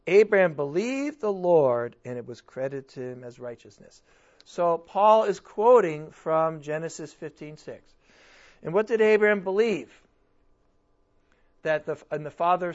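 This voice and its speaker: Pastor guy preaching, voice kinda worn and strained, high pitched, dull lo-fi sound. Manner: emphatic, rhetorical questions, swings between loud and soft. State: strained, almost losing his voice. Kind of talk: sermon.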